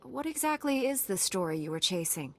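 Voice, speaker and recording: A woman's voice: mid-range voice, very animated, a little fast. An actress playing a composed, dutiful and resolute female knight in a video game, with a formal, assured delivery.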